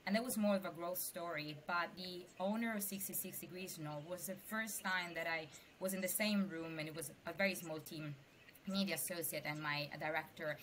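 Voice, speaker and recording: Chatty Italian woman, bright low voice. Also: moderate pace, a little hiss or hum, rough recording. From Sicily, Italy. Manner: chatty and animated. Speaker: venture capital investor, guest. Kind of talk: casual interview talk.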